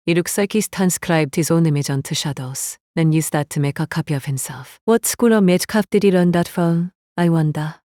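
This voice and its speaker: A woman's voice: sits low, brisk, few pauses, warm tone, no room sound, studio quality, pitched below the performer's own voice, natural voice. A woman voicing a cool, self-assured female mage, musing and sardonic in a nonchalant way. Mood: musing, wry.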